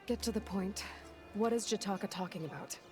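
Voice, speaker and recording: A woman's voice: mid-range voice, a little fast, over music, lightly acted. An actress playing a determined young female hunter who speaks directly and dryly, in video game dialogue.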